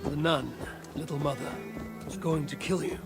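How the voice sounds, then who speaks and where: Man acting a higher-pitched, blunt Viking warrior. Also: normal speed, lightly acted. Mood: probing. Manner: direct, terse, questioning. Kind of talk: video game dialogue acting. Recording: music behind the voice.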